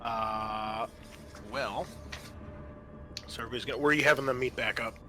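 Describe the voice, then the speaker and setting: A man narrating a tabletop roleplaying game as game master, in a halting, descriptive manner. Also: mid-range voice, steady tone, background noise.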